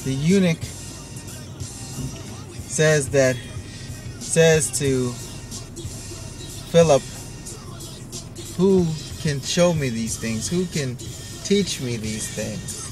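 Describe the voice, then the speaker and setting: A young man driving and talking into his phone, preaching earnestly about faith with pauses and restarts. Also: medium pitch, rough recording. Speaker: vlogger. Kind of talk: casual preaching while driving. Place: inside a moving car. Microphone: phone held or mounted on the dashboard. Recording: phone recording with loud music over it. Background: loud music playing in the car.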